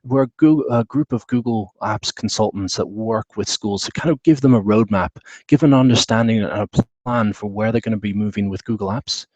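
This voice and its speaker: A man from the UK with a British accent, presenting a technical session at an online conference in a relaxed way, with many fillers and restarts. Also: lowish voice, normal speed, few pauses, dry recording, lo-fi sound. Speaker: webinar presenter.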